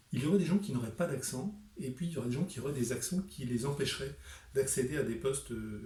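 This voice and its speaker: A man's voice: mid-range voice, mellow, roomy sound, distant mic. A middle-aged man presenting his book to camera, speaking emphatically and rhetorically with frequent hesitations.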